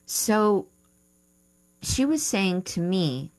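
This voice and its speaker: A woman's voice: medium pitch, big pitch swings, drawn-out words, a little mellow. A woman coaching on the radio, answering listener letters while thinking aloud and correcting herself. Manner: thinking aloud, unsure of terms, self-correcting. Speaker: writing coach and radio host. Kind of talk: advice show talk, reading listener letters.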